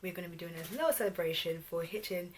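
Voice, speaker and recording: Young woman vlogger, lower-pitched, over music. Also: fast, background noise. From Ghana, later England from age twelve. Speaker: YouTube vlog host. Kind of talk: casual chatty vlog. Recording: background music under voice. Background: R&B pop music.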